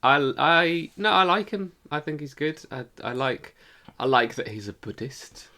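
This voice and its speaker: A man's voice: on the high side, animated, unhurried, sharp tone. A man co-hosting a podcast, chatting casually and informally in review style, with the odd swear word. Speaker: podcast co-host.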